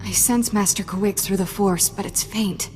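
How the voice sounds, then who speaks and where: An actress playing an anxious, loyal young Jedi padawan in a video game. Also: female voice, mid-range voice, steady tone, normal speed, a little mellow, close to an everyday voice. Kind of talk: video game cutscene acting. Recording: long hall reverb, music behind.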